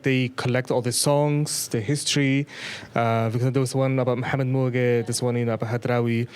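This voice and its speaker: A man's voice: mid-range voice, clear, resonant tone. A man moderating a casual panel, reflective, moving between Somali and English.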